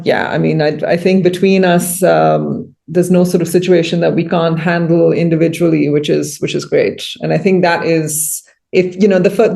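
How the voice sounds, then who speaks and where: A woman's voice: lowish voice, creaky, dark tone. A woman in her thirties talking casually as an interview guest on a video call, explaining thoughtfully and rephrasing as she goes. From India. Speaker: interview guest, agriturismo and winery owner. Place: indoor room, on a video call.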